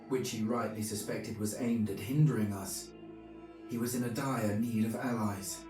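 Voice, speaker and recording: An actor playing a medieval knight chronicler, narrating a video game's story in a grave, measured way. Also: male voice, on the low side, lightly acted. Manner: measured, solemn. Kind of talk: scripted dramatic narration. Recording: echoey, distant, under music.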